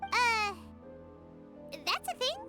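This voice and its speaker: A woman voicing a tiny, childlike, excitable fairy companion in a video game, squeaky and cheeky. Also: very slow, exaggerated voice. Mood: curious. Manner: speaks of herself in third person, exclamatory. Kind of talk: English video game voice acting. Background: music.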